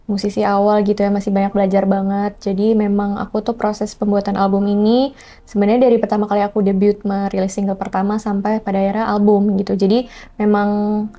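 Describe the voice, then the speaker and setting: Mellow young woman, chatty. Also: mid-range voice, a little fast. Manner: chatty, expressive, mixes in English phrases. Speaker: singer-songwriter guest. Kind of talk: casual interview chat.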